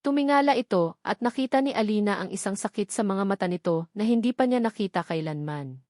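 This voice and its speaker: Filipino female storyteller for dramatic romance narration, medium pitch, very dry close sound. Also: animated, a little fast, darkish tone, dry recording.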